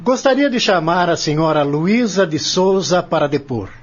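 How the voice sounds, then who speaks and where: A formal male lawyer played by an actor examines a witness in an audio novel. His voice is raspy, a bit high and quite animated, polite yet insistent. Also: moderate pace. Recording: dull lo-fi audio with music bed. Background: music.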